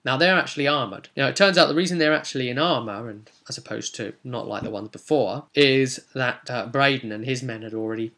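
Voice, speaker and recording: A man's voice: medium pitch, fairly quick, hardly any pauses, bright, forceful tone. British man chattily recapping a tabletop game. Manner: chatty, explanatory, with conversational asides to viewers. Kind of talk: casual vlog recounting game events.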